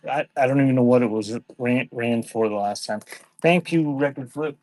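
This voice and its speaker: A man running a casual live-stream sale, talking in an offhand, halting way and thanking viewers by name. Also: mid-range voice, a little mellow, dry recording. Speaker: live online seller.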